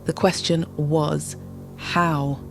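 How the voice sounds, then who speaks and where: A woman with a low voice tells a story in dramatic, measured narration. Clear music plays under her the whole way. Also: flat delivery, poor audio. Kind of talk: scripted audio drama narration.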